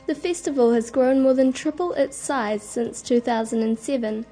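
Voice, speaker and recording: Lady radio reporter reading her piece, mellow, soft music under it. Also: high voice. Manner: measured, informative. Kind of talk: scripted news narration.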